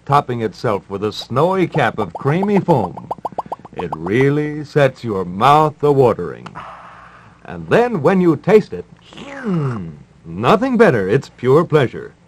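A man hosting and announcing in 1950s radio style, wry and formal, with deadpan delivery. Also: mid-range voice, a little hiss or hum. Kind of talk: 1950s radio patter, mock-serious advice and live ad reads.

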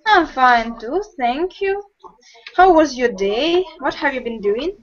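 A young woman with a high voice teaches a group English class over a video call. She talks slowly and patiently, asking questions one by one. The webcam sound is muffled. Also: animated. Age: young adult. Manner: slow, patient, encouraging questions. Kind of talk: online group language lesson. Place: home room with bookshelves.

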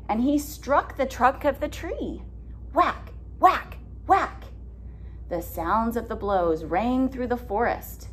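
A woman in her thirties reading a picture book aloud as a teacher, deliberate and expressive, explaining simply. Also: medium pitch, slight background noise. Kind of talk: children's read-aloud with simple questions. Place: classroom, indoors.

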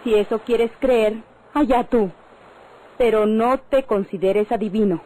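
An actress with a Mexican accent playing a stubborn, guarded young wife in an old radio drama, answering curtly and firmly. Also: female voice, on the high side, a little slow, mellow, natural voice. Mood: defiant, cold. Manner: curt, firm. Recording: noisy vintage radio drama recording.

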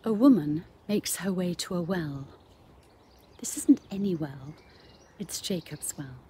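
A woman in her fifties giving an earnest, measured spoken reflection as a bishop. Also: on the low side, expressive, warm tone. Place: garden, out of doors. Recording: outdoor ambient noise, birdsong.

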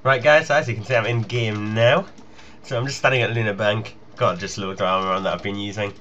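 A man's voice: fairly low pitch, steady tone, a little fast, a bit bright, lo-fi sound, slight background noise. A young British man giving casual, chatty gameplay commentary in an informal way. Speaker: gaming video creator.

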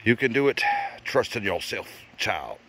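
A man's voice: lowish voice, sing-song, moderate pace, fairly bright tone, slight background noise. A middle-aged man filming a hike on a forest trail, joking and cheering on his family as he talks to the camera. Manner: joking, encouraging, talks to the camera while hiking. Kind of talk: casual vlog chat.

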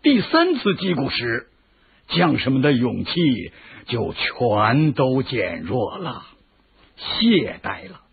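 A man's voice: mid-range voice, huge pitch swings, takes it slowly, vocal fry, breathy edge, heavily acted. An actor playing a shrewd male military strategist who gives patient, lecturing explanations in a radio drama. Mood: explaining. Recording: tinny phone-like lo-fi audio.

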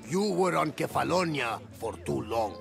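An actor playing a jovial, exuberant old Greek sailor with a gravelly voice in a video game cutscene. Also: male voice, on the high side, full character voice. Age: older.

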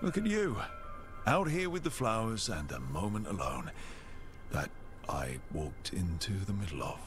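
A man's voice: fairly low pitch, very animated, measured pace. A man voicing an earnest armoured warrior hero in a video game. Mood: gently teasing. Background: loud game music.

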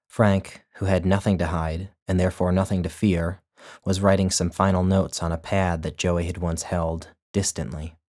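Deep-voiced man reading a story. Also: flat delivery, normal speed, a little mellow. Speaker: author reading his own story. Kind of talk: literary short story narration.

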